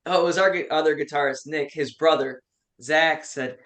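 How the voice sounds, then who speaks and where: Give me an excited man in his twenties, a metal musician guest, with a fairly high voice. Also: normal speed. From Western New York. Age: 20s. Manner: enthusiastic, swears freely. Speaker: metal band member, guest. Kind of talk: casual chat.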